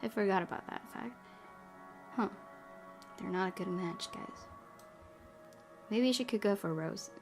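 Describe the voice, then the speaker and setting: Female let's player, mid-pitched, casual commentary and story reading, soft background music. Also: measured pace, pauses often. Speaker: game streamer. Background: faint music.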